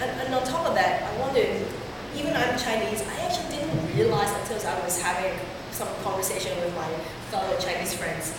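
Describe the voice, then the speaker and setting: A woman from Hong Kong giving a conference talk in a chatty way, with many fillers and restarts. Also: medium pitch. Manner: conversational, filler-heavy presenting. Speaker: conference speaker. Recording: echoey hall from far off, with noise.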